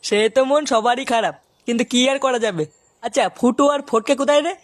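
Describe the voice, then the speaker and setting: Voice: medium pitch, brisk, no room sound, clean studio sound, close to an everyday voice. A performer voicing a bossy young gang leader in a crude comic cartoon, brash and slangy. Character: bossy, foul-mouthed young neighbourhood gang leader Poltu.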